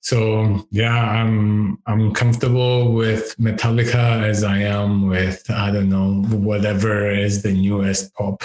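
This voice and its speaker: A man with a deep voice who is a podcast guest, chatting casually, thinking aloud with fillers and laughing often, on a headset mic. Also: flat delivery, takes it slowly, few pauses, darkish tone, roomy sound, a little hiss or hum, poor audio. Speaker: podcast guest, education researcher. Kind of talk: casual interview chat. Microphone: over-ear headset with boom mic.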